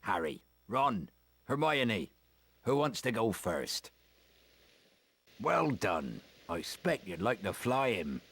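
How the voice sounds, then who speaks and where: Deep-voiced, folksy gamekeeper. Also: male voice, very animated, takes it slowly, long pauses, fairly bright tone, breathy edge, full character voice. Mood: fond, encouraging. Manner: folksy, encouraging, instructive. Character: big, gentle gamekeeper teacher, fond of creatures. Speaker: teacher of a creature-care class. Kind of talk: video game character acting.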